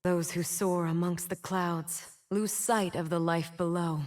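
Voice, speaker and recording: A woman's voice: on the low side, little pitch movement, darkish tone, natural voice. An actress playing a bitter, wounded fallen winged woman in a video game, solemn and theatrical. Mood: mournful, bitter. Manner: slow, solemn, theatrical delivery. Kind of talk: dramatic video game champion voice lines.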